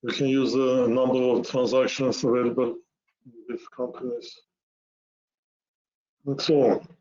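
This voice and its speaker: A man narrating a software demo webinar, explaining step by step in a halting way with repeated words. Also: mid-range voice, flat delivery. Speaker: software presenter. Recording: muffled lo-fi webinar audio.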